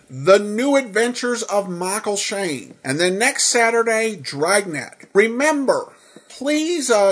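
A podcast host commenting on old radio shows and answering listener feedback in a halting, hesitant way with frequent pauses. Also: mid-range voice, breathy edge.

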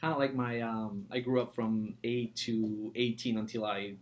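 Podcast guest: man, forties, from Mexico, mid-pitched voice, conversational entrepreneur. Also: fairly even intonation, normal speed, muffled recording. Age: 40s.

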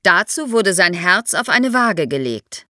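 A woman speaking as an expert podcast guest, explaining things vividly and in clear order for young listeners. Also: mid-range voice, sing-song, a little slow, pushed and bright, no room sound, clean studio sound.

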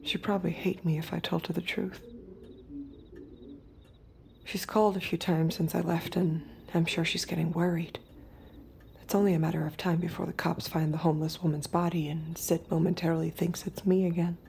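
An actress playing a subdued artificial young woman, a haunted reluctant killer, in a quiet, intimate audio drama monologue. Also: fairly low pitch, level tone, moderate pace, vocal fry, slight background noise. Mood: wistful, brooding. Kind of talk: dramatic first-person audio fiction narration.

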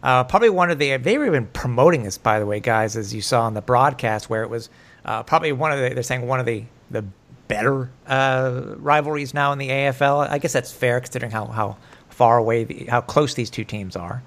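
A man's voice: mid-range voice, fairly quick, fairly bright tone. A man hosting a casual sports podcast, rambling with many fillers and poking fun at himself. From English-speaking, living in Montreal. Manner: rambling, filler-heavy, self-deprecating. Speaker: podcast host.

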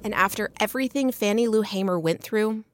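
A woman's voice: medium pitch, fairly quick, dry recording, clean studio sound. Woman narrating a radio arts report. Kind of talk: scripted radio news narration.

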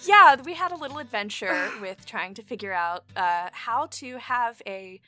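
A woman hosting a casual podcast interview over a video call, enthusiastic and quick to laugh. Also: medium pitch, expressive, soft background music. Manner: warm, enthusiastic, laughs often. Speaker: podcast host and audio drama creator.

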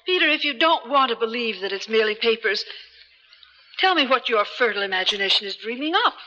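An actress playing a cool, mocking femme fatale in a radio drama, amused and condescending. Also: female voice, a little high, expressive, fast, sharp tone. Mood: impatient. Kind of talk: 1970s American radio drama acting. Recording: dull, reverberant vintage radio recording.

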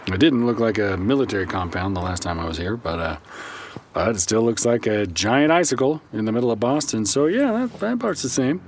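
A man's voice: lowish voice, expressive, fairly quick, slight background noise. A man playing a wry, reluctant estranged son in naturalistic audio drama dialogue. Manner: wry, sardonic.

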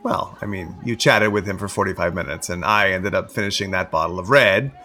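An actor playing an awkward, eager-to-please theatre producer in an audio comedy, stammering and repeating himself nervously. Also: male voice, deep voice, brisk, pushed and bright. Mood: flustered. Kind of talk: audio musical comedy acting.

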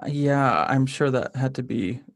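A podcast host speaks in a casual interview style. The voice sits at a middle pitch, recorded dry with no room sound. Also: a little slow, dry recording.

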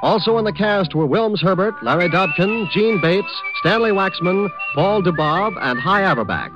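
A man's voice: sits high, animated, normal speed. A man announcing in scripted mid-century American network radio style. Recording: muffled vintage radio broadcast, music behind. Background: music.

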